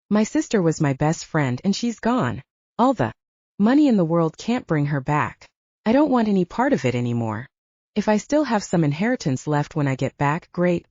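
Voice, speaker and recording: A woman's voice: on the low side, darkish tone, no room sound, lo-fi sound. A woman voicing a wounded, self-reliant human ranger in an audiobook, confiding and candid. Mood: sad, resigned. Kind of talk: audiobook character dialogue.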